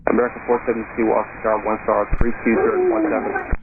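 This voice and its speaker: A man's voice: lowish voice, completely flat delivery, fast talker. A man working as an air traffic controller, giving clipped instructions over the radio. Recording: noisy, narrow-band aviation radio recording.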